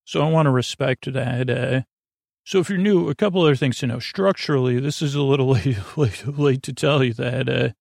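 A man with a lot of fry in his mid-pitched voice hosts a sleep podcast. He wanders from tangent to tangent, halting, restarting and interrupting himself. The sound is dry, with no room around it. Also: a little mellow, no echo. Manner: meandering, halting, stammering, self-interrupting tangents. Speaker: podcast host. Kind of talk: rambling sleep podcast monologue.

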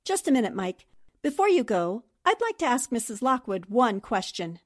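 A shrewd newspaperwoman sleuth from a 1940s radio mystery, played by an actress. Her voice sits a little high, and she speaks with self-assured, methodical precision. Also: very animated, moderate pace. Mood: composed.

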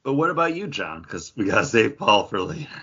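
A man's voice: fairly low pitch, very animated, a little fast, fairly bright tone, slightly rough, dull audio. A man co-hosting a podcast in casual chat, telling stories with theatrical build-ups. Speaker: podcast co-host. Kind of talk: casual chat with dramatic storytelling.

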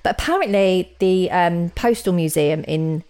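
A woman in her forties co-hosting a podcast, chatting casually and telling stories with lively exclamations. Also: fairly low pitch, normal speed. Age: 40s. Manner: chatty, animated storytelling with rising exclamations. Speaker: podcast co-host. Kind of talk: casual chat.

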